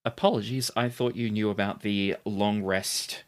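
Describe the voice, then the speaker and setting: This is a game master at a tabletop roleplaying session, with a mid-range voice. The narration comes in short, unhurried phrases with a dramatic storytelling feel. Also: mid-range voice, fairly even intonation.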